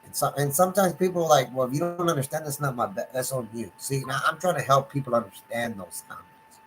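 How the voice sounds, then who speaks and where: A man's voice: mid-range voice, fast, low-quality recording. A middle-aged man from Texas with a Texan accent, hosting his own sports stream in a loose, rambling monologue full of restarts and fillers. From Texas, USA. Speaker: sports channel host. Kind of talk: live-stream casual monologue. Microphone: desktop computer setup.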